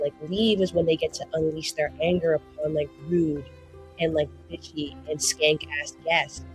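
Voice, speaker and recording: A woman playing a tabletop roleplaying game, chatting casually in slangy, excitable, improvised speech with some swearing. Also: low voice, rough recording.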